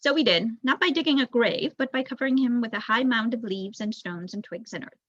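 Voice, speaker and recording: A woman's voice: a little high, fairly quick, a bit bright, no echo, dull audio. A young woman reads a storybook aloud as narration, expressively, with an occasional stumble. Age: young adult. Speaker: volunteer audiobook reader.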